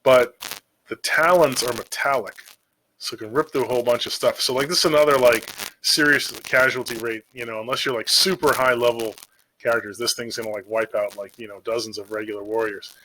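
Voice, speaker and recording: A man's voice: medium pitch, fairly even intonation, brisk, pushed and bright, rough recording. A man talking off the cuff in a casual, slangy, digressive monologue, like a video creator chatting about games. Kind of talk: casual rambling monologue about game monsters. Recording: noticeable background noise with clicks.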